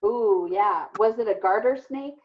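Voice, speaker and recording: Woman, elementary teacher on a dull-sounding video call; medium pitch, informal and encouraging with kids. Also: unhurried. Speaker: elementary teacher hosting an online read-aloud. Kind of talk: casual, encouraging talk to children over video call. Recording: muffled, band-limited video call audio.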